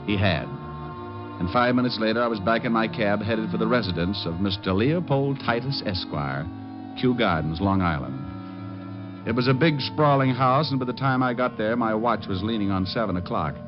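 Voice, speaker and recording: An actor playing a tough, wry private detective in an old-time radio drama, narrating and questioning in a clipped, dry manner. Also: male voice, lowish voice, animated, moderate pace, natural voice. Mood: urgent. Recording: muffled old radio recording, music behind.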